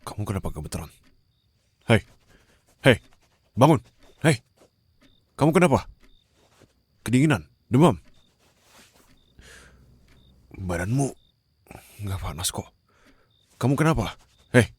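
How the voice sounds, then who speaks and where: A man playing a menacing, condescending mafia boss in an ASMR roleplay, with a gravelly, breathy voice that often drops toward a whisper. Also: lowish voice, big pitch swings, exaggerated voice. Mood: worried, urgent. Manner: slow, taunting, controlled threats. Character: menacing, condescending young male mafia boss holding a captive.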